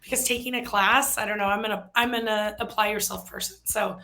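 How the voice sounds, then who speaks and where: A woman's voice: mid-range voice, steady tone, a little fast, bright, forceful tone. An American woman in her thirties, chatting casually as a guest on a work video show, with lots of fillers and self-deprecating asides. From United States. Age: 30s.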